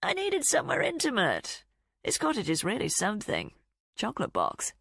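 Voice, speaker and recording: A woman doing a smooth, taunting male villain. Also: medium pitch, wildly swooping pitch, fairly bright tone, dry recording, studio quality, pitched above the performer's own voice, exaggerated voice. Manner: unhurried, mocking politeness.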